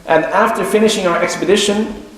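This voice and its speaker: Echoey, higher-voiced man delivering a religious lecture. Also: fairly even intonation, fairly bright tone. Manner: halting explanations with deliberate, emphatic repetition. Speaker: Islamic teacher giving a religious lecture. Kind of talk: sermon-like lecture retelling and explaining a religious story. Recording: very echoey room with faint noise.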